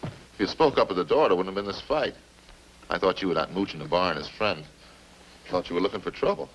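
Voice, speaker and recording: Guy acting slangy american suspect in 50s radio cop show, low grumbly excuses, defensive, bit of hiss on old recording. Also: quick pace, natural voice, low-quality recording. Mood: grumbling, compliant. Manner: colloquial, grumbling. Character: defensive, slangy male murder suspect, rough small-timer. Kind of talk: 1950s American radio crime drama acting.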